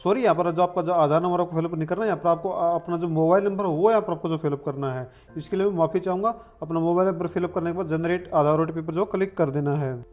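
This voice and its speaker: A man's voice: on the high side, quick pace, few pauses, darkish tone, faint music behind. A man narrating a step-by-step tutorial in an instructional way, with repeated filler phrases. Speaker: online form tutorial presenter.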